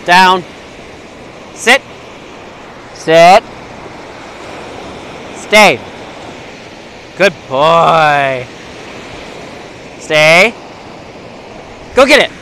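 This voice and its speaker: A man's voice: on the high side, expressive, extremely slow, long pauses, bright, forceful tone, rough recording. A young man filming on a lake beach with his phone, chatting and calling short commands to his dog. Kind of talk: casual chat. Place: sandy lake beach outdoors, waves behind. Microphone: handheld phone camera. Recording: faint surf and wind noise.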